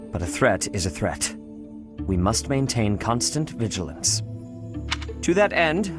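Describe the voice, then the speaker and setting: A man's voice: medium pitch, natural voice. A man playing a strict, dutiful church official in a video game, speaking in a formal, precise, stern manner.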